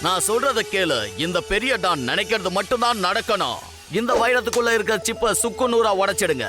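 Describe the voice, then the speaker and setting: A man's voice: sits high, lively intonation, a little fast. A man voicing a smug, commanding cartoon crime boss villain who sounds self-important and menacing. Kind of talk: animated cartoon dub voice acting. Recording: music under the voice.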